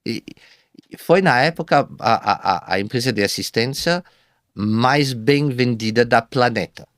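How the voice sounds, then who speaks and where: A man speaking Portuguese as a second language, a guest telling his business story in a halting way, with many repeated false starts. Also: medium pitch, fairly bright tone, no room sound, clean studio sound. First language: not Portuguese. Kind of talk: casual interview storytelling.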